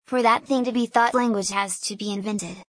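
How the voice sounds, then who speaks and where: A woman's voice: medium pitch, steady tone, no room sound. Woman musing aloud, dry sound. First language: Hungarian. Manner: deliberate, philosophical, digressive. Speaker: small business owner. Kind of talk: reflective solo monologue.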